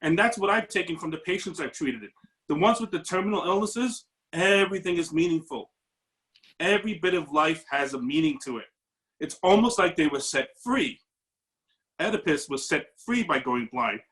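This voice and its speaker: A man speaks earnestly on a video call, working his thoughts out aloud with a few restarts. His voice sits a little higher than most men's. He talks as a paramedic in a group discussion. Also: animated, moderate pace, a bit bright, rough recording. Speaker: paramedic audience member. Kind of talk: reflective discussion comment.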